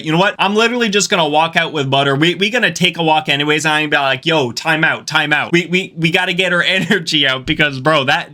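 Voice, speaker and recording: A man's voice: fairly high pitch, bright, forceful tone. A man giving casual, slangy reaction commentary as the host of a video. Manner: slangy, informal.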